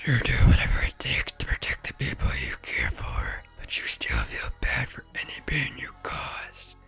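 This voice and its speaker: Man acting ancient beast spirit, hushed whisper, slow solemn delivery, proud mentor, storytelling, background music. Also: fairly low pitch, wildly swooping pitch, voice pushed up, full character voice. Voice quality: whispery, breathy, unvoiced.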